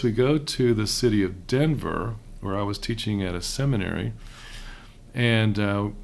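A middle-aged man from Houston hosting a relaxed conversation, chatty with frequent hesitations. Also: fairly low pitch, gravelly. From raised in Houston. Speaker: host, seminary professor. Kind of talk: casual conversation.

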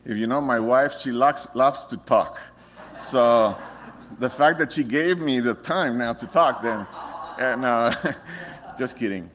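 A man's voice: mid-range voice, takes it slowly, slight background noise. Man sharing his testimony. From Costa Rica. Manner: relaxed, joking, many restarts. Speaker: missionary speaker. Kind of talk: personal testimony to a congregation.

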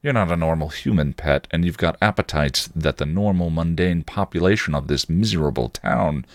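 A man's voice: rumbling low, moderate pace, no room sound. A man voicing a cocky male vampire, taunting and insistent, in a story reading. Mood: goading. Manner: provocative, insistent, goading.

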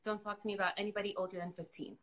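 A woman's voice: medium pitch, flat delivery, very fast, fairly bright tone. A woman whose first language is Spanish, chatting and explaining as a counselor guest in a casual interview.

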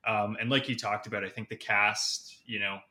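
A man's voice: on the low side, fairly even intonation, moderate pace, sharp tone. A man speaking as a podcast guest, giving a casual film review and searching for his words.

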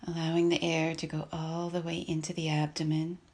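A woman leading a guided meditation in a soft, breathy, husky voice, calm and measured, leaving long pauses between phrases. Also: sits low.

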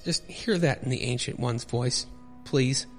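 A man's voice: mid-range voice, unhurried, faint music behind. A man hosting a podcast, giving joking, rambling commentary with mock indignation as he reads a comic book aloud. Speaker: podcast host.

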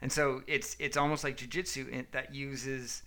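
A man co-hosting a podcast, chatting casually in a rambling, halting way as he searches for words. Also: medium pitch, little pitch movement, bright, forceful tone. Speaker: podcast co-host. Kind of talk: casual chat, storytelling.

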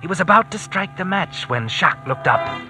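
A man's voice: high voice, animated, moderate pace. A man playing an omniscient, taunting crime-story narrator in a 1940s radio drama, ominous and knowing, on an old broadcast recording. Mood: tense, taunting. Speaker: host and narrator. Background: music.

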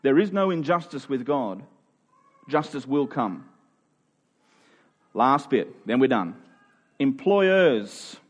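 A man's voice: mid-range voice, lively intonation, slow pace, long pauses. A man preaching in a conversational, expository way, informal and emphatic, often checking in with his listeners.

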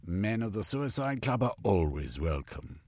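Brief: aloof scientist gatekeeper, voiced by a male narrator, measured, disdainful, husky grain. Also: lowish voice, sing-song, a little mellow, no room sound, clean studio sound, pitched above the performer's own voice, heavily acted. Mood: grave. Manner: haughty, measured. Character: supercilious tall male zoologist official.

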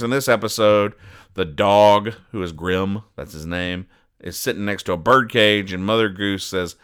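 A man hosting a comedy podcast, giving deadpan, mock-serious explanations with halting asides. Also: on the low side, clear, resonant tone. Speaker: podcast host. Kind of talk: deadpan comedic commentary, recapping comic strips.

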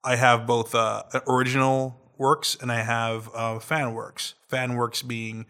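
A man's voice: medium pitch, level tone, measured pace, sharp tone, clean tone. A man co-hosting a podcast in a casual, playful chat, joking and plugging his own creative work. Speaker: podcast co-host.

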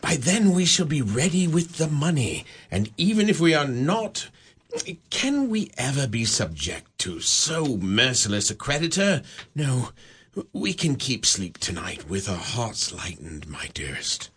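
An actor playing an indebted husband in a radio drama, stammering and hushed. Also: male voice, mid-range voice, big pitch swings, measured pace, husky, full character voice. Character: indebted husband relieved at a death.

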